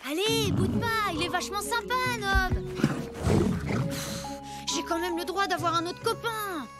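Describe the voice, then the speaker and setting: A woman voicing a cheerful, loyal, earnest young caveboy in an animated cartoon, with an eager, expressive, exclamatory delivery. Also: very high voice, unhurried, over loud music. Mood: coaxing, earnest.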